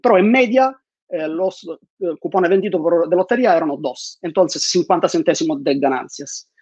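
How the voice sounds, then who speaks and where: A high-voiced man, often restarting and repeating words as he gives a talk from home. His lapel mic sounds dry and close. The video call is muffled and lo-fi. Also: normal speed, a little mellow, husky, no echo. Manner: many fillers and repeated words. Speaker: conference speaker. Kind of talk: online conference talk. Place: home room with bookshelves.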